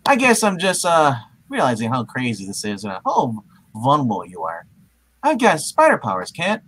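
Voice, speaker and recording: A reader playing an earnest, protective young male superhero in a hammy, halting live comic book puppet reading. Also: medium pitch, wildly swooping pitch, fairly bright tone, slightly rough, heavily acted. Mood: worried. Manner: hammy, halting read-aloud with asides.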